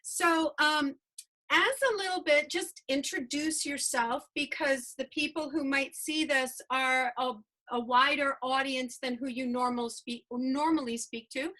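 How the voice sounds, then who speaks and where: A woman hosting a casual interview, talking in a warm, meandering way with many pauses and fillers. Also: high voice, fairly even intonation. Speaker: host.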